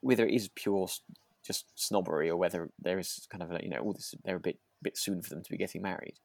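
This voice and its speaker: A British man co-hosting a podcast, chatting casually in a musing, halting way. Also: low voice, fairly even intonation, creaky, darkish tone, no echo. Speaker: podcast co-host. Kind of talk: casual chat.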